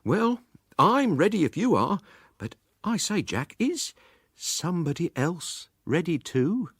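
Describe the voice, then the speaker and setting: A man voicing a young gentleman schemer in a story, with an expressive, questioning manner. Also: fairly high pitch, slow, slightly rough, dry recording, clean studio sound, voice pushed up, heavily acted. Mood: astonished, sly. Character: young gentleman schemer, surprised yet game.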